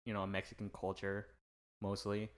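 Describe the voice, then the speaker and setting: A man's voice: lowish voice, normal speed. Easygoing man, native Vietnamese speaker. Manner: relaxed, filler-heavy, stumbles over words. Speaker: podcast co-host. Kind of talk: casual chat.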